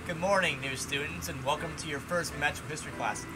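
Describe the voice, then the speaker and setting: A man's voice: a little high, fast talker, over loud music, voice pushed down, natural voice, rough recording. A man reading the lines of a formal female teacher of magic, lecturing a class.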